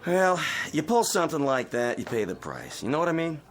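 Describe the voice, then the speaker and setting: An actor playing a cynical, breezy drinking companion who wisecracks casually, in mid-century television drama style. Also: male voice, on the high side, huge pitch swings, fairly quick, faint music behind.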